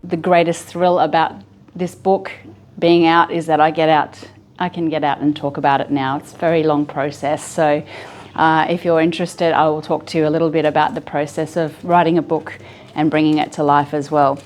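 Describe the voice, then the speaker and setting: A middle-aged Australian woman with a fairly low voice talks to a roomful of listeners in a library. She is relaxed and chatty, and her sentences are broken up by ums and small restarts. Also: moderate pace, soft background music. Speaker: author giving a library talk. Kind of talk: relaxed public talk to an audience. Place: library meeting room.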